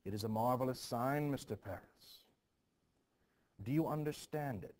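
A man's voice: mid-range voice, moderate pace, a little mellow, natural voice. A man reading the part of a grieving, superstitious village mother in a stage play, ominous and intense, in archaic phrasing. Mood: insistent.

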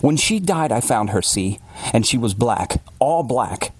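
A man's voice: medium pitch, expressive, moderate pace, darkish tone, slightly rough, no room sound, pitched above the performer's own voice. Man acting defensive, haunted working-class father. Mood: haunted, anguished. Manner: agitated, blunt, colloquial. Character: Billings, defensive, anguished working-class father confessing a horror.

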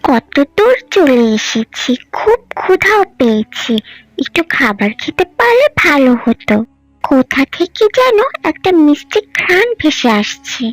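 A small, cheerful butterfly chirps in a cartoon, voiced by a woman. The voice is high with lively swings. Soft music runs underneath. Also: a little slow, rough recording. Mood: wondering.

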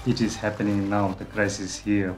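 A man from Bhutan giving a measured, earnest documentary interview in a dark studio. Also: on the low side, flat delivery. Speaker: climate expert interviewee. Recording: music bed under voice. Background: background music.

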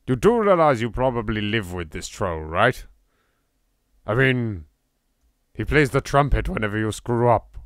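A man's voice: medium pitch, very animated, measured pace, pauses often, a bit bright, clean tone, no room sound, clean studio sound. A man with a British accent doing playful, exclamatory game commentary, bantering and swearing freely. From England. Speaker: let's play host. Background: game music.

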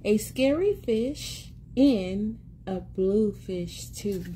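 A woman in her thirties reading a picture book aloud to young children in an expressive, sing-song, encouraging way, repeating words for them. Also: medium pitch, very slow, a little hiss or hum. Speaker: children's read-aloud host and teacher. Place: small indoor room at home. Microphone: phone or tablet at arm's length.

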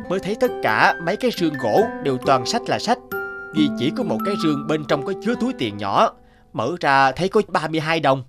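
A man's voice: mid-range voice, lightly acted. A man as a solemn letter-reading repentant thief. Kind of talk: scripted letter reading in animated tale.

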